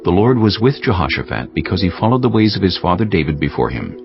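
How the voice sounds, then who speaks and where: A man narrating scripture as a scripted audiobook, in a solemn, measured storytelling manner. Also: low-pitched, sing-song. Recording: dull sound with music bed.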